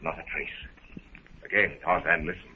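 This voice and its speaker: A man's voice: very deep voice, fairly even intonation, moderate pace. A man giving scripted, dramatic narration for a 1930s adventure radio serial in a theatrical storytelling manner. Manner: theatrical, present-tense storytelling. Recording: scratchy, phone-like 1930s radio recording.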